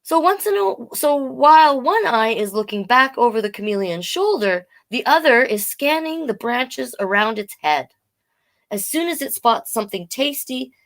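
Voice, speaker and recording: A woman reads a picture book to children with a very animated, swinging intonation at a medium pitch. She is playful and expressive, slipping from the reading into chatty little explanations for her young listeners. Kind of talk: storytime read-aloud with chatty asides.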